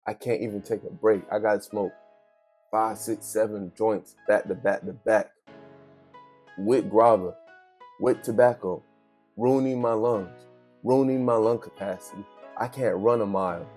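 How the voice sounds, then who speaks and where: A man's voice: on the low side, steady tone, unhurried, long pauses, darkish tone, soft background music. A man in his thirties giving an unscripted motivational monologue, speaking emphatically in street slang, addressing the listener directly and repeating phrases to drive them home. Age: 30s. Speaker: vlogger giving a motivational talk.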